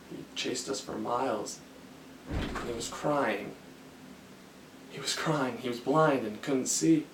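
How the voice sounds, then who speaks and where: A man acting out a haunted army officer in a film monologue, brooding and philosophical. He speaks very slowly and haltingly, with a gravelly creak. The sound is echoey, as if caught across a room. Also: medium pitch. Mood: grim, remembering. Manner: halting, deliberate, with long pauses and repeated phrases. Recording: echoey room, distant mic, faint noise.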